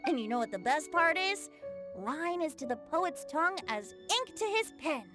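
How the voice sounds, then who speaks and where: A woman's voice: high-pitched, sing-song, normal speed, over music. A woman playing a merry young bard hosting an event in an anime video game, playful and flowery. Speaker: event host.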